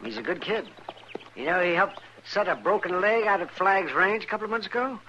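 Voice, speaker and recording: A cantankerous, folksy Old West doctor in a radio drama. His American voice is fairly high and swoops up and down with excitable fussiness. A faint hiss sits behind it. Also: a little fast, muffled recording. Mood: indignant. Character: crotchety, excitable, good-hearted frontier town doctor. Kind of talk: old-time radio western acting.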